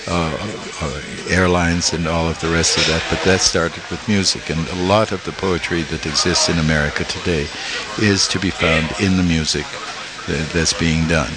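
An older man from Italy, a small press publisher, being interviewed and speaking haltingly with many fillers and restarts. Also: sits low, steady tone, very noisy. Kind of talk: interview conversation.

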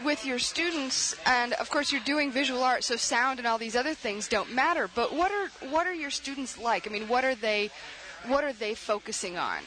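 A woman's voice: fairly high pitch, moderate pace, few pauses, bright, forceful tone, low-quality recording. A woman hosting a radio interview, chatty and often restarting her questions. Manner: chatty, searching for words with many restarts. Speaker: radio show host.

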